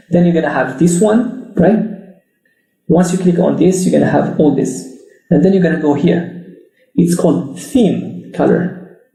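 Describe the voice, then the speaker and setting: A man's voice: fairly high pitch, vocal fry, dark tone. A middle-aged man, a business marketing trainer, explaining a screen-share tutorial step by step in loose non-native phrasing, often checking with "right?". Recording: echoey room.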